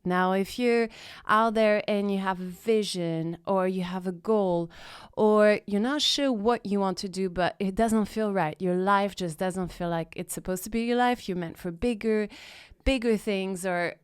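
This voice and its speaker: A woman's voice: mid-range voice, unhurried, clean tone, dry recording, clean studio sound. A woman hosting her own podcast, introducing the episode and chatting with a guest in an upbeat, emphatic way. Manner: upbeat and emphatic, repeats phrases for stress. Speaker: podcast host. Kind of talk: podcast intro and casual interview chat.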